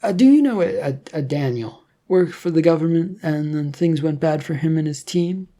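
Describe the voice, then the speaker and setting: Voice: medium pitch, lively intonation, moderate pace, dark tone, lightly acted. A narrator voicing a nervous, bewildered young male hero in an adventure story, hesitant and stammering.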